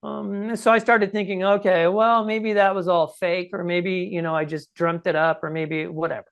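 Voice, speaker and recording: A man's voice: sits high, flat delivery, moderate pace, creaky, fairly bright tone, clean tone, muffled recording. A man in his sixties with a scratchy voice, telling his own story conversationally and emphatically as an interview guest. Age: 60s. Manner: emphatic, often checks in with "okay?" and "right?". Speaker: podcast guest recounting personal experience. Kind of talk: conversational first-person storytelling.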